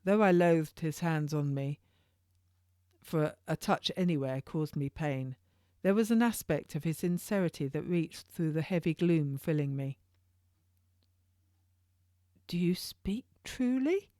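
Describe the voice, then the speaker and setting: A woman narrates a historical novel in the first person. Her voice sits fairly low, and she reads informally like an author sharing her own work, with the odd stumble. The sound is close and dry. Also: a little slow, mellow, no echo. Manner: informal read-aloud with occasional stumbles.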